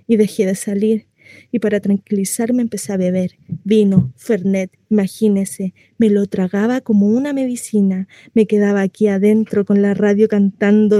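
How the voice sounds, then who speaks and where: Mellow, chatty housewife played by a woman, confiding. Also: mid-range voice, dry recording, lightly acted. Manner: confiding, rambling, conversational storytelling with rhetorical questions. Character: housewife shut in at home, chatty, naive, confiding in a neighbour. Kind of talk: dramatic monologue on radio.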